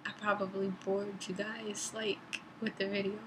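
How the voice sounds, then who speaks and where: A young woman in a reflective personal vlog, speaking thoughtfully and deliberately about faith, her voice going dry and raspy. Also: mid-range voice, little pitch movement, a bit bright, a little hiss or hum, low-quality recording. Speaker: vlogger and author.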